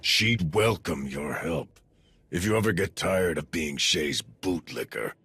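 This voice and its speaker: An actor playing an arrogant, menacing sci-fi raider enforcer who taunts and intimidates in a rough voice, as in a video game cutscene. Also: male voice, sits low, animated, measured pace, pushed and bright, no room sound, full character voice. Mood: sneering, coaxing. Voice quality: gravelly, rough.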